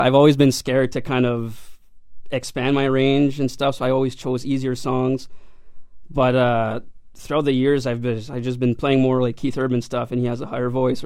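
A man's voice: medium pitch, a little mellow, no echo, studio quality. A young man, a country singer, answering interview questions in a modest, hesitant way with many fillers.

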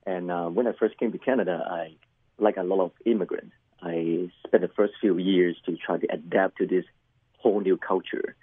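A middle-aged man from Hong Kong whose first language is Cantonese, a pastor telling his life story in an interview, halting and repeating words with many fillers. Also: sits low, fairly even intonation, slightly rough, no room sound, narrow, tinny audio. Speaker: pastor and chaplain, guest. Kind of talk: personal testimony interview.

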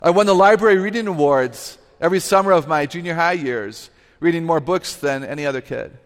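A woman with an unusually low voice gives a lively, humorous talk to a live audience through a microphone. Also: brisk. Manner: lively, humorous, emphatic, laughs at herself. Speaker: guest speaker and author. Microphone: microphone on a stage. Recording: live talk with long hall reverb.

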